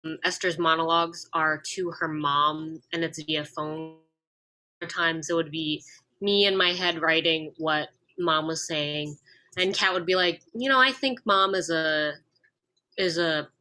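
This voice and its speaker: Low-voiced woman with a bright edge. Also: poor audio. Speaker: radio play actor. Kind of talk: casual panel discussion.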